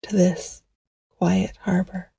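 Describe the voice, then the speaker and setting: A woman guiding a meditation in a gentle, hushed, poetic style, with a soft, breathy voice that often nears a whisper. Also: on the low side, flat delivery, no room sound, studio quality. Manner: gentle, hushed, unhurried, soothing. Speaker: meditation guide. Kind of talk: slow poetic guided meditation narration.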